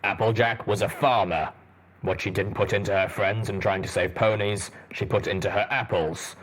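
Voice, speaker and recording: A man voicing a huge, stern armored ranger pony in an audiobook, with a rumbling voice and a blunt, deliberate manner. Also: steady tone, fairly bright tone, slightly rough. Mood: grave. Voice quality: deep, rumbling.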